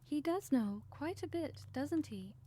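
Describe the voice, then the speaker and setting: A woman playing a gentle, affectionate schoolgirl in a fan audio drama, with a soft, tender manner. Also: sits high, animated, a little slow, a little hiss or hum. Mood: admiring.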